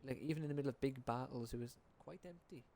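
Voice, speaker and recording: Mellow, casual young man doing game commentary. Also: mid-range voice, fast talker, creaky. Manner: informal, tentative, rambling. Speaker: gaming video creator.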